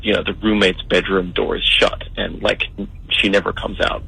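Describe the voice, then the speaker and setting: A man's voice: low-pitched, flat delivery, moderate pace, creaky, sharp tone, husky, rough recording. A young man calling in to a radio show, telling his story in a hesitant, rambling way full of fillers. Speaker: caller.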